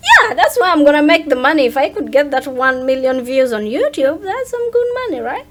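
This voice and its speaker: Higher-pitched woman chatting casually. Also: sing-song, fairly quick. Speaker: interview guest, viral content creator. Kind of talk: casual chat.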